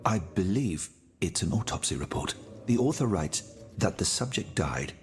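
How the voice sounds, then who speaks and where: An actor playing a learned, courtly older gentleman astronomer in a video game, genteel and thoughtful. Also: sits low, sing-song, normal speed. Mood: genteel, pondering. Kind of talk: video game dialogue acting.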